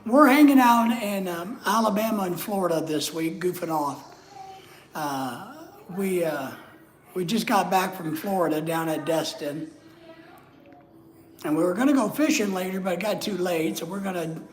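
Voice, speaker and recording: A man with a Southern US accent chatting casually on a live stream, rambling and greeting viewers by name in a rental house kitchen. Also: high-pitched. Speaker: vlogger. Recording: roomy echo.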